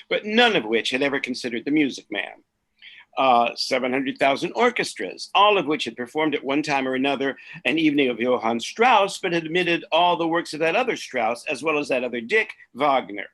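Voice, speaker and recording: A man's voice: a little high, fairly bright tone. A man who is an author, talking about his comic fiction and reading it aloud as narrator. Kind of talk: chat, then dramatic reading of comic fiction.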